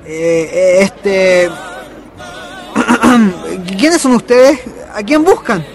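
Vain young ladies' man, as an actor plays him who stammers his way out, high-pitched, radio drama. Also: animated, drawn-out words, gravelly, full character voice. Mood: flustered. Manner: stammering, defensive, indignant. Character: vain young womanizer Pedro, flustered liar. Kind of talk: community radio drama acting. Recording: radio drama with faint music.

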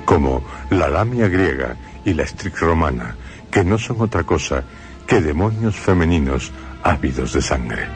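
A man with a Spain Spanish accent hosting a radio story program, narrating in a grave, measured, ominous way from a studio. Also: extremely low pitch, big pitch swings, music in the background.

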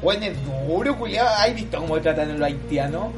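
A woman's voice: fairly low pitch, animated, a little fast. Blunt, foul-mouthed Chilean woman. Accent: Chilean Spanish. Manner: blunt, crude, swears a lot. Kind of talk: casual chat. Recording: music playing behind.

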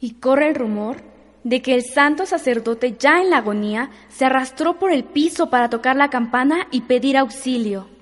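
A woman's voice: sits high, normal speed, close to an everyday voice. A woman with a Mexican accent playing a pious, superstitious, fearful housemaid in a melodramatic radio soap opera. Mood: grave, hushed.

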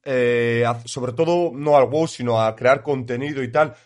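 A man with a Spain Spanish accent hosting a casual interview. He builds long, winding questions at an ordinary mid pitch for a man. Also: normal speed. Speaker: interviewer host.

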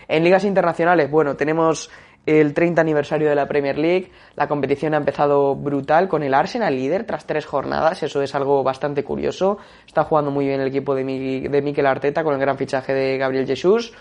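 Young man, football segment, steady delivery with fillers, monotone. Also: fairly high pitch, fairly quick. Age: young adult. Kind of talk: casual radio-style football talk.

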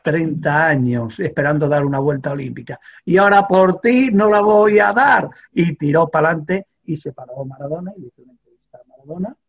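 An older man from Extremadura, a veteran sports reporter, telling an animated story in a studio chat with many fillers and restarts. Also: on the high side, brisk, creaky, breathy edge, muffled recording. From Extremadura, Spain.

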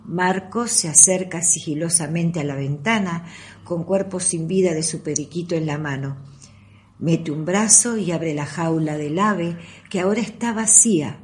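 A woman's voice: on the low side, moderate pace. A woman narrating an amateur radio play from a script. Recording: audible background noise.

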